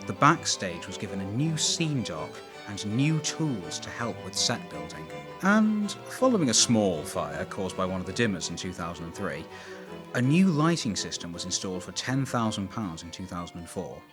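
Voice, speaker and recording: A man's voice: sits low, sing-song, normal speed, music in the background. A man reading scripted documentary narration as the narrator of a history series.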